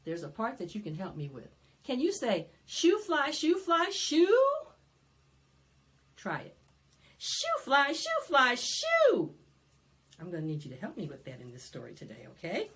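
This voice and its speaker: A woman presenting storytime for young children, singing and reading aloud in an animated, sing-song way that coaxes them to join in. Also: a little high, measured pace. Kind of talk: interactive preschool storytime, singing and read-aloud.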